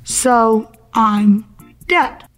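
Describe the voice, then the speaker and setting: A woman's voice: fairly high pitch, drawn-out words, a bit bright, faint music behind. A woman playing a confused, exasperated accident victim in an audio drama, with sarcastic, dramatic, slangy delivery. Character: confused, exasperated accident victim stuck in afterlife waiting room.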